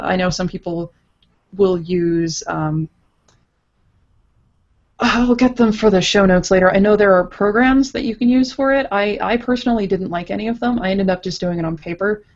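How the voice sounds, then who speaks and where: A woman's voice: medium pitch, lo-fi sound. A woman guest explaining things casually over an internet video call. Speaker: guest interviewee, visual novel writer.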